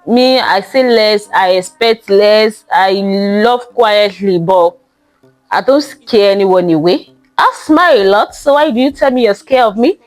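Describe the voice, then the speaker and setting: A young woman hosting a casual monologue podcast, talking in a loud, emphatic way and drawing out the words she stresses. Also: medium pitch, measured pace, faint music behind. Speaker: podcast host.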